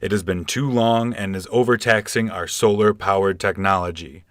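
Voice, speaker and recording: An actor playing an earnest, defensive galactic presidential candidate with formal politician's talk in a comic sci-fi radio play. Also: male voice, fairly low pitch, steady tone, normal speed, a bit bright, no echo, lightly acted. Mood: campaigning.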